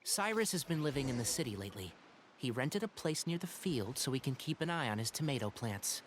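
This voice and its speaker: Boyish forest ranger for a game, male actor, brisk, responsible, animated pitch, decisive. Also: a little hiss or hum, natural voice. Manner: polite, precise, decisive. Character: youthful, level-headed male forest watcher, brisk and responsible. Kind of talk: video game voice acting.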